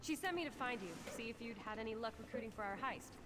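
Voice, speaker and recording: A woman's voice: a little high, quick pace, over loud music, natural voice. A woman voicing an eager, businesslike young heist crew member in a video game. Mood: brisk. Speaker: game character.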